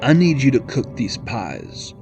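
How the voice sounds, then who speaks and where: A man playing a bossy, menacing pot-bellied Gila monster demon in an audio drama, with a gravelly voice. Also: medium pitch, wildly swooping pitch, a little slow, music in the background, heavily acted. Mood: scornful, bossy. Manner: menacing, commanding, grumbling. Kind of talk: audio drama character acting.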